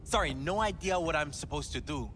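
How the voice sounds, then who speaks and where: An actor playing a nervous young male club worker who hedges and stumbles through his answers over soft club music. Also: fairly high pitch, animated, brisk, a little hiss or hum. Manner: hesitant, evasive, fidgety.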